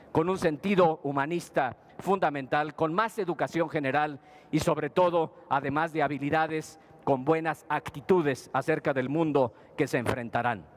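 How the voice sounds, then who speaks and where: A man delivering a formal conference speech in a steady, measured way, with a few brief hesitations. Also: on the high side, a little hiss or hum. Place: conference hall panel.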